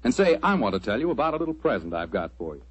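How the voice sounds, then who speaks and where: An American man announcing a 1940s radio show and pitching the sponsor in a chummy, folksy way. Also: very animated, racing, husky.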